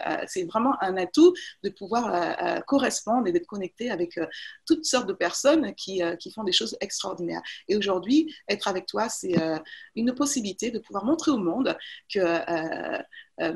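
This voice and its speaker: A woman from French Guiana hosting an interview over a video call in a halting, enthusiastic way. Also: mid-range voice. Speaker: interview show host. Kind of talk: interview hosting, conversational introduction.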